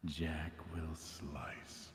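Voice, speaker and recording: Sinister masked killer: very deep, whispery, drawn-out singsong menace, echoing game audio with noise. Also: male voice, little pitch movement, full character voice. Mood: sinister, taunting. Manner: drawn-out, eerie rhyming delivery.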